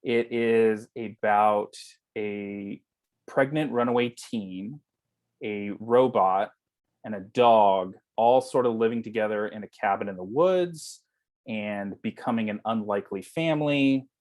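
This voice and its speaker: A man's voice: fairly low pitch, unhurried, darkish tone. A man giving casual, explanatory interview answers as a guest on a video call. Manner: conversational, explanatory. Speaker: guest, writer-director.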